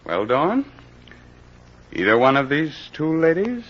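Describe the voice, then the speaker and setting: A gruff, seasoned police captain who takes charge with brusque, wry authority. His pitch swings widely from mutter to bark. The sound is a dull, lo-fi old radio crime drama. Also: huge pitch swings, a little slow, full character voice. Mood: brisk command.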